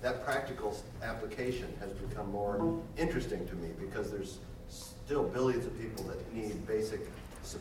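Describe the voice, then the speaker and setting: An older man in the audience shares a halting comment, stopping often to find his words. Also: fairly low pitch. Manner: hesitant and searching for words. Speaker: audience member sharing a comment. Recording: echoey hall, distant mic, clear background noise.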